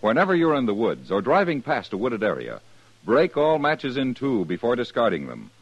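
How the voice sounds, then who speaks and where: A man's voice: mid-range voice, moderate pace, dry recording. A man reading a public service notice and the credits as a formal 1950s radio announcer. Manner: smooth, lively, formal announcer delivery. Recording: dull, dry 1950s radio broadcast.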